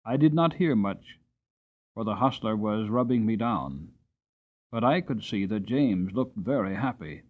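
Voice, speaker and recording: A man narrating an audiobook as a dramatic, expressive storybook reading. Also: fairly low pitch, phone-quality sound.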